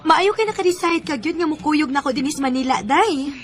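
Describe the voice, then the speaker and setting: A woman's voice: high voice, lively intonation, brisk. A woman with a Cebuano accent playing a breezy, persuasive friend in a radio drama. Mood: brisk, urging. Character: breezy, persuasive female friend recruiting for city work. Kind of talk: Filipino radio drama acting.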